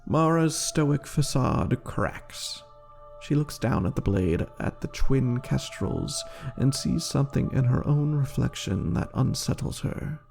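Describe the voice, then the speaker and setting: Man, theatrical story narration, slow measured pace, creaky gravelly edge, light music behind. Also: mid-range voice, animated, darkish tone. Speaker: game lore reader and streamer. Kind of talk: dramatic scripted story narration.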